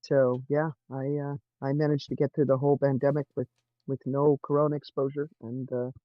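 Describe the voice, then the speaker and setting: A man from California with an American accent, chatting casually in a halting, hesitant way. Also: medium pitch, little pitch movement, dry recording, lo-fi sound. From California, United States. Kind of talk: casual chat.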